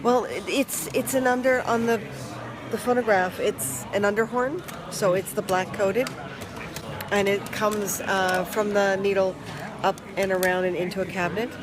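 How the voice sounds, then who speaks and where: A Canadian vlogger talks into a handheld phone at an outdoor flea market stall. The voice sits at a middling pitch and rambles along with frequent restarts, chatting loosely with live viewers. A dense market crowd murmurs behind it. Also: steady tone, normal speed. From Toronto, Canada. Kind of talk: casual chat with live viewers.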